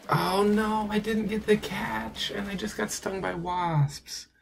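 A man with a hoarse, sore-throated voice giving casual, chatty commentary while playing a video game, talking to himself and to the game. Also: high voice, fairly even intonation, slow pace. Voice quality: gravelly, raspy. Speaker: let's play gamer.

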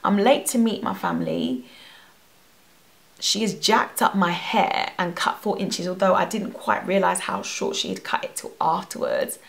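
A young woman telling an animated storytime about a hair salon, filmed talking to the camera at home. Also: lowish voice, a little slow, creaky. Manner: animated, expressive, gesturing a lot. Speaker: hair vlogger. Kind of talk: storytime vlog. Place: bedroom at home. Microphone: camera at face distance.

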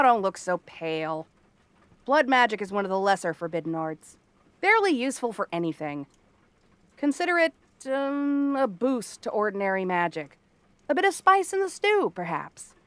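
A woman performs a cursed princess living as a frog girl, in an ASMR fantasy drama. She is cranky and bratty, with a theatrical, haughty delivery. Her voice sits at a medium pitch and leans on sarcasm. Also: a bit bright, no room sound. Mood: defensive, haughty. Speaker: voice actress. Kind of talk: ASMR fantasy roleplay monologue.

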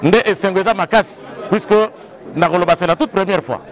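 Male Congolese party official, high pitch, outdoor interview with faint crowd murmur. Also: fast talker. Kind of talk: interview statement to camera. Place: covered outdoor yard at rally.

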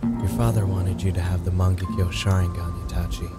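A man's voice: deep voice, flat delivery, fairly quick, close to an everyday voice. A man playing a resigned, questioning young outlaw in an audio drama. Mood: resigned, worried. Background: loud plucked guitar music.

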